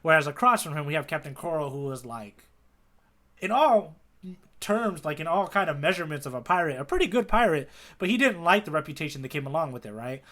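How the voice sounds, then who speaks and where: A man with a bright, forward voice pitched a little high chats as a podcast co-host. He is inquisitive and conversational, often tagging his points with questions. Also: big pitch swings, fairly quick. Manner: inquisitive, prompting with questions and tag checks. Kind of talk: casual chat, manga readalong discussion.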